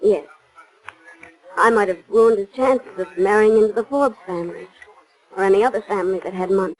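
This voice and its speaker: A woman's voice: medium pitch, brisk, faint music behind, natural voice, rough recording. An actress playing a reserved, subdued working woman who answers tersely, in 1940s radio drama style. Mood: guarded, somber. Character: guarded, subdued working woman, switchboard operator. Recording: remastered 1940s radio recording.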